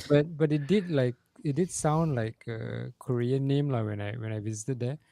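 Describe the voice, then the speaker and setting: A man in a casual podcast chat, talking hesitantly with fillers and soft asides. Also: medium pitch, moderate pace, creaky, dry recording.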